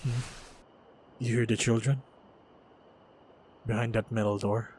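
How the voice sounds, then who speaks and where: A man plays a protective fighter in a fantasy action roleplay, addressing the listener directly. His voice is on the low side and he takes his time, giving short phrases with pauses between them. The track is clearly noisy. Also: level tone. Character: steady protective male fighter guiding a princess. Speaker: voice actor in audio roleplay. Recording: noisy, effects-heavy track.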